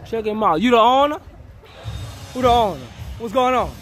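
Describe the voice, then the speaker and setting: A man vlogging as he walks an outdoor car show, chatting excitedly in slang with car owners about their rides. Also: very high voice, normal speed. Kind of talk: casual chat while walking a car show. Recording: loud music and crowd outdoors.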